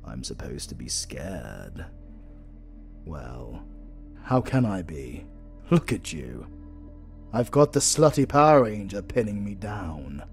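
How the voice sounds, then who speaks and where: A man's voice: sits low, very animated, creaky, soft background music, full character voice. A British man playing a flirtatious, sardonic supervillain in a romantic comedy audio roleplay, drawling and teasing theatrically. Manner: slow, drawling, teasing, dramatic.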